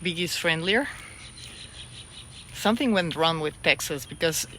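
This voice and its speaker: A woman's voice: sits low, expressive, normal speed, a bit bright, slight background noise. A middle-aged woman from Mexico, a TV host whose first language is Spanish, chatting openly in English with a Mexican Spanish accent and joking about her own English. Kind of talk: casual vlog chat.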